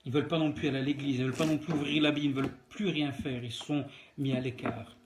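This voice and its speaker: A man's voice: medium pitch, fairly even intonation, fast talker, background noise. A man delivers a devotional talk, speaking earnestly to the listener and repeating phrases for emphasis. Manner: earnest, emphatic repetition and direct address. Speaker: preacher giving a daily devotional.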